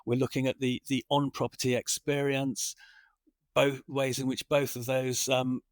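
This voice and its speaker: A man's voice: medium pitch, flat delivery, moderate pace, dry recording. A man hosting a corporate podcast, chatty and loosely structured, repeating phrases while he frames his questions. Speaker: podcast host.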